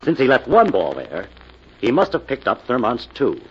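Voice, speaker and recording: Radio detective hero, husky, animated, commanding, assured, muffled vintage recording. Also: male voice, mid-range voice. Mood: confident reasoning. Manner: brisk, assured, authoritative. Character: confident, commanding male amateur detective hero. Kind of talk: 1940s radio drama acting.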